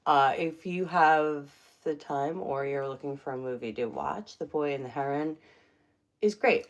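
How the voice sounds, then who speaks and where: A woman's voice: low voice, darkish tone. A woman casually chatting on a live stream from her home, rambling with many fillers. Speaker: livestream host. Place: home room.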